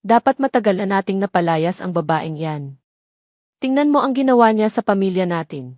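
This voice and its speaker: A woman's voice: mid-range voice, lively intonation, moderate pace, a little mellow, no echo, studio quality, close to an everyday voice. Woman voicing a stern, scolding older aunt. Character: stern, indignant older aunt, protective mother.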